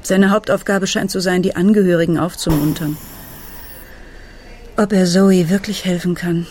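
An actress playing a worried mother and journalist, telling of her sick daughter in a sober, hushed first-person radio monologue. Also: on the low side, steady tone, normal speed, slight background noise, natural voice.

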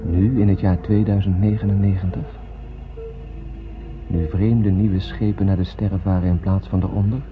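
A man's voice: sits low, flat delivery, normal speed, close to an everyday voice. An actor playing a dreamy, solemn space wanderer who narrates his tale in a poetic, declamatory radio play manner. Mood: solemn, reflective. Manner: poetic, declamatory, dramatic, with hushed phrase endings. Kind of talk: scripted radio play monologue. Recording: tinny narrow-band radio recording with music.

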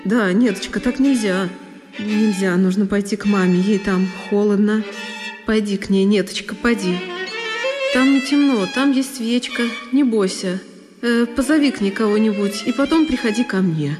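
A woman's voice: medium pitch, normal speed, close to an everyday voice. Woman acting distraught, agitated father over music. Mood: coaxing, agitated. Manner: agitated, repetitive, urgent. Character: distraught, guilt-ridden, agitated father fleeing his dead wife.

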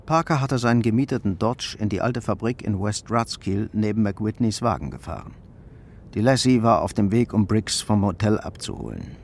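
A man's voice: lowish voice, animated, normal speed, creaky, slight background noise. A man reading scripted narration as the storyteller of a radio crime drama.

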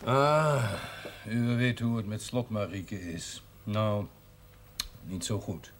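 An actor playing a calm, matter-of-fact village doctor in a television drama, speaking in a measured, instructing way. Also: male voice, on the low side. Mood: grave. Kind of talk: naturalistic television drama acting. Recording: slightly noisy old TV soundtrack.

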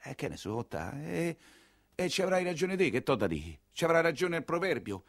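A man's voice: fairly high pitch, very animated, normal speed, gravelly. An actor playing an anxious, plain-spoken nineteenth-century coachman with a Roman accent, in a theatrical storytelling monologue. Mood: resigned.

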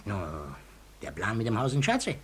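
A man's voice: lowish voice, huge pitch swings, moderate pace, fairly bright tone. An actor playing a wheedling, domineering old gang boss in a radio drama, repeating his words slyly. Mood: oily, placating. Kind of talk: 1950s German radio drama acting. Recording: 1950s radio recording with faint hiss.

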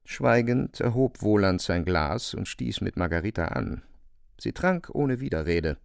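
A man's voice: on the low side, very animated, unhurried, vocal fry, clear, resonant tone, no echo, studio quality. A man narrating a literary radio play from a script as its storyteller.

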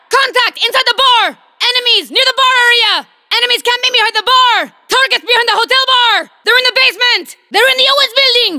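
A woman's voice: extremely high pitch, pushed and bright, exaggerated voice. A woman voicing a tough female soldier in a video game, barking clipped, urgent military callouts.

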